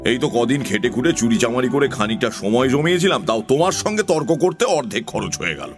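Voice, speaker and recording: A man's voice: mid-range voice, animated, fairly quick, close to an everyday voice. A man playing a fussy, argumentative, self-important crow in a children's audio story. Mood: haughty, argumentative. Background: music.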